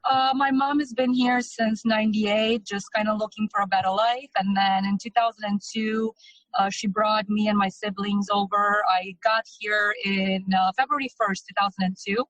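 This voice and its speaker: A woman's voice: medium pitch, fairly even intonation, moderate pace, fairly bright tone, no room sound, low-quality recording. A woman in her thirties, originally from Romania, talking in a loose, chatty way with frequent hesitations as an interview guest. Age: 30s. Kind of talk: casual testimonial interview.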